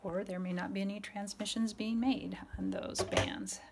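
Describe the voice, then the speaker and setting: A woman giving a casual product demonstration in a halting, matter-of-fact way. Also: medium pitch, fairly even intonation, gravelly, heavy background noise. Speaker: seller demonstrating a vintage radio.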